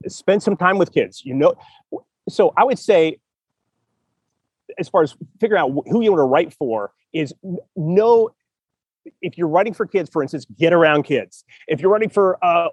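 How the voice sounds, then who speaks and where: Man, podcast host, encouraging, repeats himself for emphasis, fairly high voice. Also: no echo, muffled recording. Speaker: podcast host, writing coach. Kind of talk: casual podcast chat.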